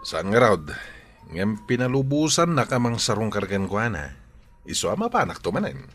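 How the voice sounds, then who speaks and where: An eager, deferential man with an Ilocano accent. His voice sits low and swings widely as he coaxes and pleads earnestly. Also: sing-song, normal speed, fairly bright tone, soft background music. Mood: animated, curious. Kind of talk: Ilocano radio drama acting.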